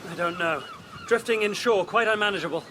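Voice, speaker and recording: An actor playing a brave, confident gentleman in a period audio drama, spirited and well-mannered. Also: high voice, brisk, noisy background, lightly acted. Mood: urgent, brave. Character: brave, confident young gentleman with seafaring experience.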